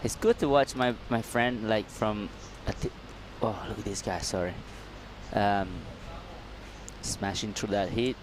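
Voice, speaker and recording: A man's voice: on the low side, a little slow, crowd noise, low-quality recording. A man with an Indonesian accent co-commentating live surfing, playful and laughing, with loose, broken phrasing.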